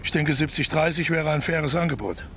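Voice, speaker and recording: An actor playing a cocky, smooth-talking con man twin in a radio crime comedy, persuasively laying out his plans. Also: a little high, fairly quick, fairly bright tone, slight background noise. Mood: bargaining.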